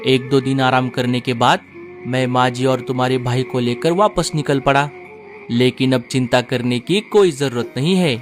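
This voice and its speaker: A man's voice: medium pitch, fairly even intonation, moderate pace, faint music behind, natural voice. Man voicing earnest cartoon father bird. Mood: apologetic, reassuring. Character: apologetic, reassuring husband and father bird. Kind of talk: children's cartoon voice acting.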